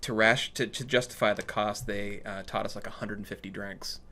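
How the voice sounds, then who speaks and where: A man chatting casually and telling stories in an animated way, acting out what people said to him. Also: fairly low pitch, fairly quick. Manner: animated storytelling, acts out reported dialogue. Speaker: storyteller in conversation. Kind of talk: casual anecdotal chat.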